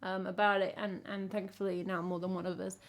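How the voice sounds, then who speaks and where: A woman from England with a croaky voice, chatting casually with her live-stream chat as a singer-songwriter and teasing herself as she goes. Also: mid-range voice, flat delivery, fairly quick. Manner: chatty, self-teasing, hums melody snippets.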